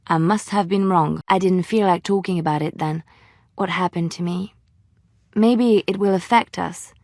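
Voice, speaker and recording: An actress playing a wistful female bard and former spy in a video game, with a gentle, measured, storytelling way of speaking. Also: on the low side, no room sound, studio quality. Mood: hurt. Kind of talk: video game character dialogue.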